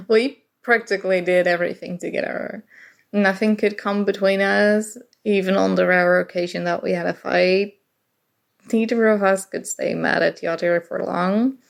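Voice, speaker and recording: A woman playing a shy, awkward college-age roommate in an intimate ASMR roleplay, speaking haltingly and nervously. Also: mid-range voice, vocal fry. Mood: nostalgic. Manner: hesitant, halting, sighing.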